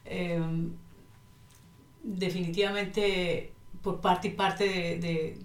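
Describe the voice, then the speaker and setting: A woman's voice: lowish voice, little pitch movement, drawn-out words. A woman in her fifties, a guest on a podcast, speaking hesitantly and thoughtfully with many fillers and tag questions. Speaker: guest, economist and Spanish teacher. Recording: roomy, distant from the mic.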